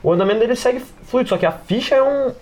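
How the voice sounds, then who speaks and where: A man's voice: very high voice, racing, a little mellow. A man with a Brazilian accent chatting casually and informally as a podcast host, full of slang and fillers. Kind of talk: casual podcast chat about tabletop RPGs.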